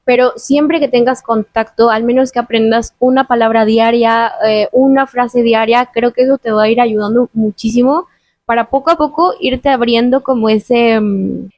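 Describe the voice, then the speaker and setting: A woman's voice: fairly high pitch, normal speed, no echo, lo-fi sound. A Mexican woman hosting an interview podcast, talking earnestly and giving advice in casual chat. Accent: Mexican Spanish. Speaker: host.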